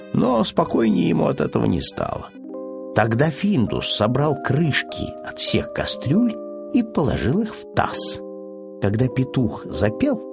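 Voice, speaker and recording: A man narrating a children's audiobook in an expressive, scripted storytelling style. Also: fairly high pitch, creaky.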